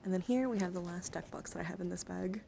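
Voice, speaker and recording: A woman speaks softly and close to the mic, with plenty of vocal fry. She rambles informally in a casual ASMR livestream manner, her voice often thinning into a whisper. A faint noise sits behind her. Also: on the low side, quick pace. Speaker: livestreamer showing card decks.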